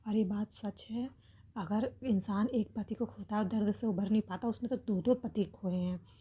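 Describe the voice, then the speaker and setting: A woman's voice: mid-range voice, fairly even intonation, normal speed, warm tone, husky, lo-fi sound. A woman hosting a reaction video, giving opinion commentary to viewers in an earnest way, repeating phrases for emphasis. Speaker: reaction video host commenting on a family controversy.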